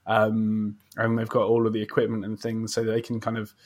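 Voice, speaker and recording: A man's voice: fairly low pitch, monotone, normal speed. A man hosting a podcast interview in a casual, chatty style, agreeable and encouraging as he talks.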